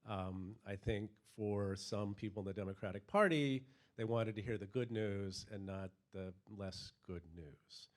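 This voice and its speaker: A man's voice: on the low side, a little slow. A man speaking as a panel guest in a self-assured, conversational way. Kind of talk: panel discussion.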